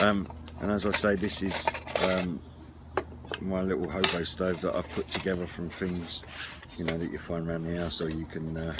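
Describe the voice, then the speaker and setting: Low-voiced man, casual outdoor gear walk-through. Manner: hesitant, informal, explanatory. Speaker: presenter of a CB radio and camping gear channel. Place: outdoors at a wooden garden table by a house. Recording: clear background noise, outdoor clatter of handled gear.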